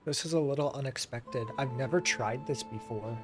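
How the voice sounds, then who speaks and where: A man's voice: mid-range voice, moderate pace, over music. A man giving casual, wry playthrough commentary as a gaming streamer. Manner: offhand, wry reactions.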